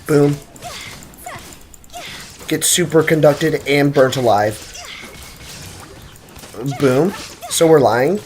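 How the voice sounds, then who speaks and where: A young man streaming a video game, giving excitable, joking commentary and answering his chat. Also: on the high side, extremely slow, pauses often, slight background noise. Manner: excitable, joking, trash-talking. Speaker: gaming streamer. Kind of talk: live game commentary, chatting with viewers.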